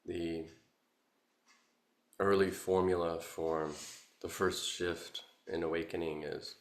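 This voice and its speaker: A man guiding a meditation as a Buddhist teacher, contemplative and halting, with long pauses between short phrases. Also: deep voice, fairly bright tone. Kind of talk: guided meditation, Buddhist dharma talk. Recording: roomy, echoing sound.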